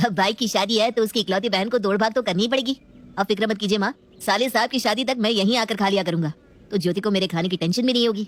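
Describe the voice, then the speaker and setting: Woman acting teasing, quick-talking young husband. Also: medium pitch, lightly acted. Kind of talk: scripted family story acting.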